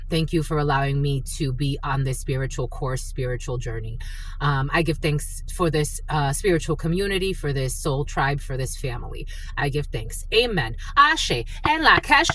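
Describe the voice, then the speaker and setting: Low-voiced woman, tarot reader. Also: fairly bright tone, a little hiss or hum. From family from the Dominican Republic. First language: Spanish. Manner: chatty and rambling, with frequent fillers. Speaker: tarot reader and spiritual channel. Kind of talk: opening prayer, then a casual tarot reading.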